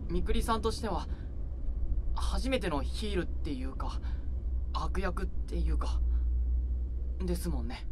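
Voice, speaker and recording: A man's voice: sits high, unhurried, pauses often. An actor playing an eager, easily flustered young male idol in a voice drama, with a polite, earnest, stammering manner. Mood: hesitant. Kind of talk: Japanese anime-style voice drama acting. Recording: binaural recording with vehicle noise behind.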